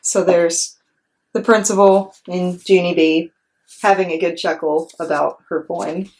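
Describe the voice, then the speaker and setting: A woman's voice: on the low side, fairly even intonation, measured pace, darkish tone, slight background noise, low-quality recording. Woman reading a children's story expressively. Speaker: read-aloud storyteller.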